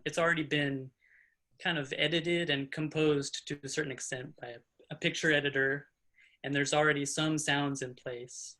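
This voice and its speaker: Bright-voiced man explaining his work haltingly. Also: on the high side. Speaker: sound designer, panel guest. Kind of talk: casual explanation of work process.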